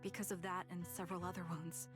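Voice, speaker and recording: A woman acts a war survivor in a dramatic video game. The voice is on the low side, somber and earnest, clinging to hope. Guitar music plays clearly behind the lines. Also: little pitch movement, fast talker, lightly acted. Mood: somber, troubled. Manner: somber, earnest, breathy at moments. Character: haunted, stubbornly hopeful female soldier survivor.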